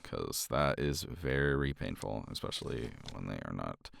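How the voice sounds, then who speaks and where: Casting: deep, gravelly-voiced man, tender partner roleplay, lively intonation. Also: unhurried. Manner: tender, reassuring partner talk to a listener. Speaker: ASMR roleplay performer. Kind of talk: romantic ASMR roleplay, intimate soft talk.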